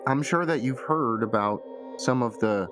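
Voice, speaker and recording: A man voicing a flustered, regretful woman in a tabletop game, halting and earnest as a wife pleading her case. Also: medium pitch, over music, voice pushed down, lightly acted. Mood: earnest explaining. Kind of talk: tabletop roleplay acting.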